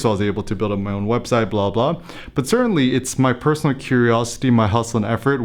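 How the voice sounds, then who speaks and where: A young man from the United States talks to camera in a fairly low voice. He thinks aloud as he goes, restarting sentences and dropping in fillers, in a loose motivational vlog manner. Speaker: photography blogger and YouTuber. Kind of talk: casual motivational talk to camera.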